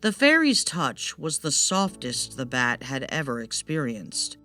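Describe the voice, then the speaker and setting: A woman's voice: very deep voice, big pitch swings, unhurried, sharp tone, soft background music. A woman narrating a scripted fairytale as a storyteller.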